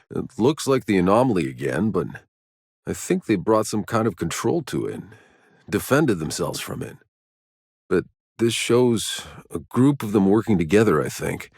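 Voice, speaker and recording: A man's voice: low-pitched, no room sound, clean studio sound. A man playing a sardonic, battle-weary sci-fi soldier hero in a video game, with terse delivery. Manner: sardonic, terse, swears freely. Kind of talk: video game character voice lines.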